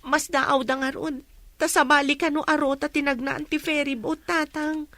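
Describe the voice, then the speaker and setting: A woman's voice: high voice, little pitch movement, normal speed, pushed and bright, no room sound. A young woman with an Ilocano accent playing an earnest village woman searching for news. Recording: clear crowd noise behind.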